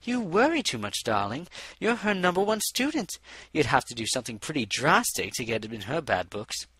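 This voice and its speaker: A man's voice: on the high side, big pitch swings, moderate pace, fairly bright tone, breathy edge, dry recording, exaggerated voice. A man voicing an elegant, theatrical female unicorn socialite with an affected, genteel manner. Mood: gracious, breezy.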